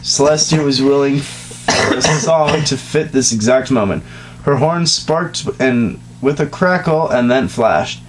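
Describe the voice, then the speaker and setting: Male amateur story reader, mid-pitched, giggly and crude with casual asides; faint noise and hum behind the voice. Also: unhurried. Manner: self-interrupting, giggly, crude. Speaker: reader of a comedic fan fiction reading. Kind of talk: dramatic reading of fan fiction with casual joking asides. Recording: noisy home recording with hum.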